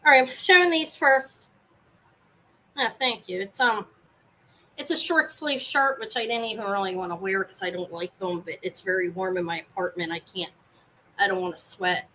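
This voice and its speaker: Brief: woman, live-sale host, loud carrying voice, rambly and self-interrupting, home setup. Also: mid-range voice, moderate pace, poor audio. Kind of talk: casual live sale chat. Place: home apartment, fan running.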